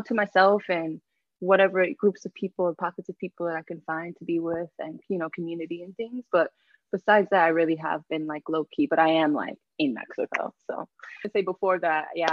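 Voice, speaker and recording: Woman, slightly low pitch, informal rambling interview answers, dry muffled recording. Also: gravelly, no room sound. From Jamaica-born, raised in Yonkers and Mount Vernon, New York. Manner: informal, rambling, loops back on phrases. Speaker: podcast guest, travel writer. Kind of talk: casual, chatty interview.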